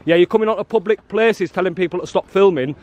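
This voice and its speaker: A man filming outside a prison, talking loudly and bluntly to the officers and to his viewers. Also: sits high, fast talker, highs cut off. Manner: loud, blunt and challenging, calls people "love" and "mate". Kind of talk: confrontational street filming with commentary to viewers.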